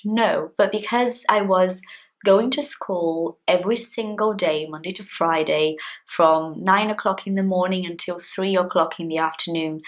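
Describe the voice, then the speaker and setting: Chatty young woman. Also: fairly low pitch. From Brazil. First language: Portuguese. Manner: chatty, expressive, gestures with hands. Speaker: English teacher. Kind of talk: casual talk to camera. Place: small indoor room at home.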